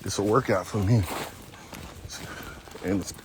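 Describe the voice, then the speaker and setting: A man's voice: on the low side, fairly even intonation, takes it slowly, background noise. Blunt folksy man in his fifties. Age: 50s. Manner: talkative, blunt, folksy storytelling. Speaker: dog trainer. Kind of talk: casual vlog while walking a dog. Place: outdoor nature trail.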